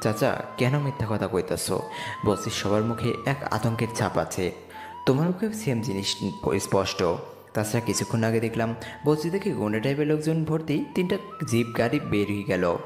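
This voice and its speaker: Brief: male actor as humble, earnest young slum lad, animated delivery, heavy reverb, music underneath, romantic audio drama. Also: medium pitch, normal speed, natural voice. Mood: worried. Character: poor young slum boy, humble, earnest, narrating his story. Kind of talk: romantic audio story narration and dialogue. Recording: echoey reverb with music bed.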